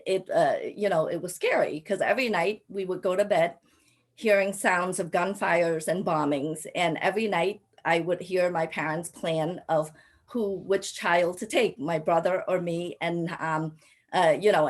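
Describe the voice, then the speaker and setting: Low-pitched, halting woman from Cambodia. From Cambodia, raised in a Thai refugee camp and the US. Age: 40s. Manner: halting, frequent hesitations and repeated phrases. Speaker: panelist, local elected official. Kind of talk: personal story on a panel.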